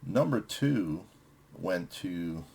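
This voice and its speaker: A man's voice: low-pitched, lively intonation, extremely slow, gravelly, a little mellow, husky. A man hosting a music vlog, chatting casually as he reviews records with friends.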